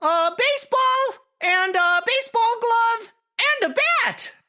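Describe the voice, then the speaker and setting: Hopeful small boy: piping high, bright, earnest and excitable, radio play style. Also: takes it slowly, heavily acted. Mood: eager, hopeful. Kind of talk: comedy audio drama acting.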